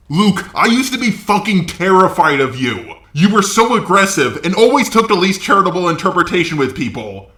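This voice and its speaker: A man's voice: high voice, bright, forceful tone, echoey room. A man delivering blunt, sweary, opinionated commentary as a video host, often asking rhetorical questions. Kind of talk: opinionated drama commentary, talking to camera audience.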